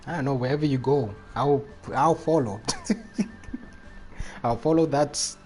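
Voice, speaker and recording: A man's voice: mid-range voice, unhurried, darkish tone, soft background music, rough recording. A man doing casual live game commentary and chatting with viewers in a playful, rambling, reactive way. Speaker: game livestreamer.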